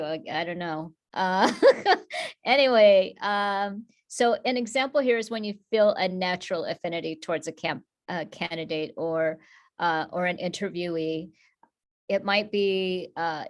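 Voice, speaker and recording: Chatty woman presenting on a video call. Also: lowish voice. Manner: chatty, informal, many fillers and restarts. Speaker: workshop presenter.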